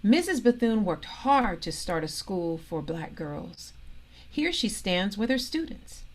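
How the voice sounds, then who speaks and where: A woman reads a children's story aloud at a slow, deliberate pace. Her pitch moves in lively swings around a middle register, and she sets off key phrases with emphatic pauses. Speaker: storybook reader, organisation president.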